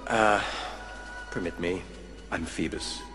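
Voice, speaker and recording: A man's voice: sits low, takes it slowly, long reverb tail. A man voicing a cocky, charming soldier captain in an amateur cartoon fandub, flirtatious, wry and teasing. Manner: teasing, smooth-talking. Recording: music behind the voice.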